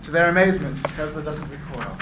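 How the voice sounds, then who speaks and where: Guy reading stage directions dramatically, highish voice, roomy echo, noisy background. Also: fast talker. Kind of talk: dramatic scripted narration, live reading. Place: live reading in a room. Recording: echoey room, clear background noise.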